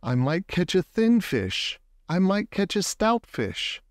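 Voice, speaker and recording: A man reading a children's picture book aloud expressively, with deliberate phrasing and words drawn out for emphasis. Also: sits high, very animated, no room sound, clean studio sound. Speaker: storybook reader.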